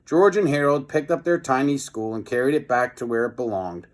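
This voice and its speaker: A man reading a children's book aloud in an expressive storytelling style, with chatty, casual asides. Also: medium pitch, a little fast. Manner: expressive, chatty commentary between passages.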